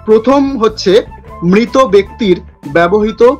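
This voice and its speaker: Male host, high-pitched voice, playful interview chat, background music. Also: takes it slowly. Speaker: host of a quick-fire question segment.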